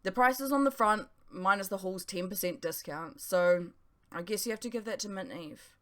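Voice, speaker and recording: A woman's voice: mid-range voice, moderate pace, fairly bright tone, close to an everyday voice. A woman voicing a brash, cheeky young male student in an audiobook, with an exuberant, theatrical manner. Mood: grudging. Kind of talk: dramatised audiobook dialogue.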